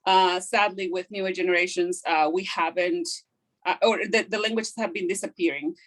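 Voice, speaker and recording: A woman's voice: on the low side, steady tone, normal speed, a bit bright. Woman teaching a craft. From Guatemala. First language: Kaqchikel. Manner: hesitant, explanatory, frequent fillers. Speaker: craft instructor. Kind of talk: hands-on teaching. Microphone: video call.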